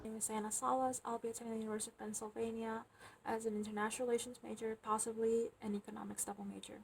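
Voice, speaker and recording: Quick, creaky young woman. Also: fairly high pitch, little pitch movement, a little hiss or hum. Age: young adult. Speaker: student. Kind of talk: advice to viewers.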